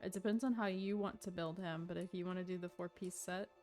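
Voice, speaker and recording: A woman's voice: fairly low pitch, fairly even intonation, a little fast, darkish tone. A woman livestreaming a video game, chatting casually in a rambling, easygoing way about play. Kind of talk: casual chat, gameplay commentary. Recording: game music behind. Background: video game music and character voices.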